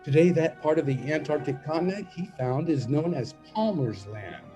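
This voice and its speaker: A man's voice: fairly high pitch, animated, normal speed, dark tone, faint music behind. A man narrating and announcing a radio play from a script, in storytelling style.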